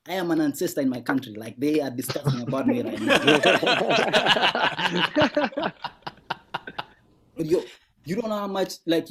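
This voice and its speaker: A man's voice: a little high, noisy background. A man from Sudan, doing a laid-back stand-up set from home over a video call, repeating words and chuckling through his jokes. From South Sudan. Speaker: guest comedian. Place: home at night.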